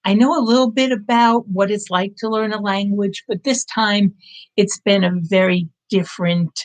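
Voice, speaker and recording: A woman's voice: mid-range voice, steady tone, normal speed. A woman of fifty-nine hosting her own channel, giving a casual live-stream update in an earnest, reflective and encouraging way. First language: English. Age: 50s. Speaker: language-learning channel host. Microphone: live-stream setup.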